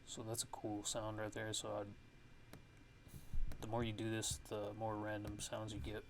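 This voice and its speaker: A man giving a casual ASMR music production tutorial, thinking aloud in a halting way as he works. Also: fairly low pitch, completely flat delivery, very noisy.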